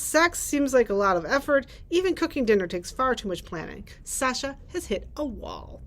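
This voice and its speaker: A middle-aged woman, a librarian, presenting book picks and reading blurbs aloud into a camera in a library. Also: high-pitched. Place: library room with bookshelves.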